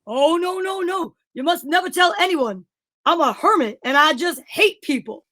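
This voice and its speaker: A very high-pitched cave hermit acted by a woman, grumpy and fed up with people. He answers in theatrical deadpan, radio comedy style. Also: moderate pace, heavily acted. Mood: secretive, deadpan. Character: reclusive male hermit in a lion skin, grumpy misanthrope. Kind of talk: amateur old-time radio comedy acting.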